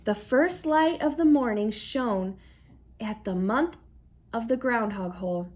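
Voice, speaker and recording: A woman's voice: a little high, takes it slowly, darkish tone. A woman reading a picture book aloud to children, expressively, as a teacher who puts questions to listeners. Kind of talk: animated storybook read-aloud with asides to listeners.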